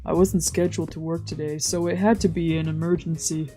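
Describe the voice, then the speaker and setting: Woman streamer, low-pitched and mellow, narrating a visual novel with offhand commentary over soft music. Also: steady tone, fairly quick. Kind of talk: dramatic let's play read-aloud with casual asides. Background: game music.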